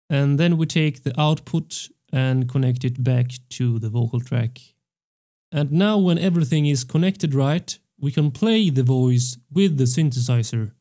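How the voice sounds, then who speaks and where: A young man hosting a music production tutorial, explaining step by step in a measured way into a close studio mic. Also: medium pitch, warm tone. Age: young adult. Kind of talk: tutorial narration. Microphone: close studio microphone on a stand.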